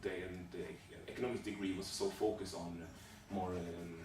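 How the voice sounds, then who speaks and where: A man from Sweden, answering interview questions haltingly, with many fillers and restarts. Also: low-pitched, fairly even intonation. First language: Swedish. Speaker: job applicant in a practice interview. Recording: echoey room, distant mic, background noise.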